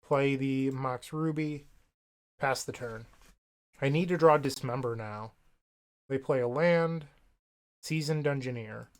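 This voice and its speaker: Sniffly man narrating a card game. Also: fairly high pitch. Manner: thinks aloud, wry, self-deprecating asides. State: sniffly from a cold. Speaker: gaming content creator. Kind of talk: casual gameplay commentary.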